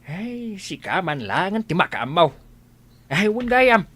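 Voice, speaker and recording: An actor playing a kindly, concerned older father figure in an Ilocano radio drama, with an exclaiming, expressive delivery. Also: high-pitched, slow pace, heavily acted. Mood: warm generosity.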